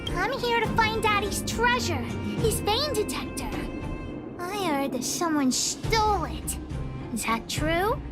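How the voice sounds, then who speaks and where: A woman's voice: very high-pitched, lively intonation, slow, over loud music, full character voice. A woman voicing a cheeky, bossy, determined little girl in a video game. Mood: earnest. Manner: refers to self in third person.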